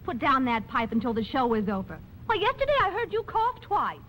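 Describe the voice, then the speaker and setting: An actress playing a strict, scolding, bossy secretary in a brisk 1940s radio comedy style. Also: female voice, high voice, big pitch swings, heavy background noise. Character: bossy, strict female secretary policing two stars.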